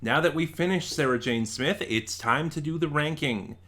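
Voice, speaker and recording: A man's voice: fairly high pitch, moderate pace, pushed and bright. A man from Nevada with an American accent, hosting a review channel with casual, opinionated ranking commentary and an informal, emphatic delivery. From Nevada, United States.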